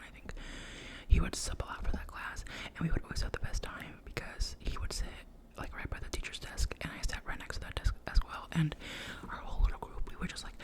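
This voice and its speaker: A young woman whispering a rambling personal story, full of "like" and self-corrections, in the style of an ASMR storytime. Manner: soft whisper throughout. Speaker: vlogger.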